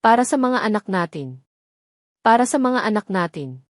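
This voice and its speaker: A woman's voice: mid-range voice, very animated, normal speed, no room sound, studio quality, close to an everyday voice. A woman voicing a remorseful, devoted male army major with an earnest, pleading manner in an audio drama.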